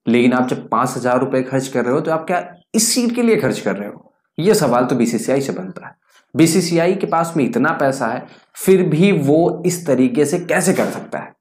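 A man's voice: medium pitch, expressive, normal speed. A man in his twenties giving opinionated cricket commentary to camera, emphatic and fond of rhetorical questions. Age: 20s. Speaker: cricket news YouTuber. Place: small indoor room. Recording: echoey room.

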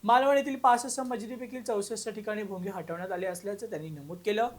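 A man's voice: high voice, fairly even intonation, fast, a crowd in the background. A man reading scripted news as a bulletin anchor.

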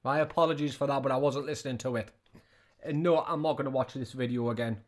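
A man's voice: mid-range voice, fairly even intonation, fast, slight background noise. A man in his thirties giving casual, scornful reaction commentary, often repeating his questions. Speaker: reaction video host.

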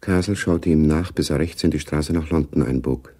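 Grave male narrator, bottomless creaky voice, literary spy radio play, knowing. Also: extremely low pitch, normal speed, warm tone. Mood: grave, watchful.

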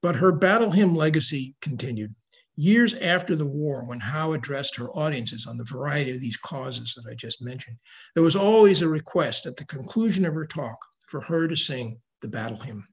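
An older man giving a prepared slide lecture on history over a video call, reading in a measured way. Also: a little high, expressive, breathy edge, low-quality recording. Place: indoor room at home, video call. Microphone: video call microphone.

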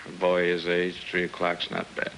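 A man playing an easygoing middle-aged American father in a 1950s film drama, low-key and hesitant. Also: monotone, moderate pace, fairly bright tone. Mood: calm, reassuring. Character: easygoing, reassuring, evasive father. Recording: noisy old film soundtrack.

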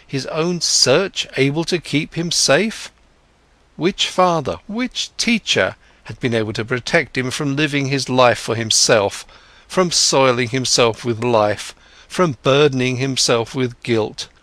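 A man's voice: mid-range voice, dry recording. A man reading as a wise old ferryman. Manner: calm, gently questioning. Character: wise old male ferryman, gentle and patient.

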